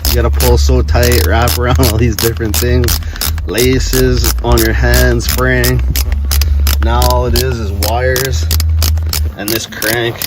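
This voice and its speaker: Casting: male vlogger, medium-pitched, easygoing and slangy, talking off the cuff outdoors over noticeable wind noise. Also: flat delivery, slow pace. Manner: slangy, laid-back rambling. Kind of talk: casual outdoor vlog chat. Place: outdoors at a ski area. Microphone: handheld or worn action camera.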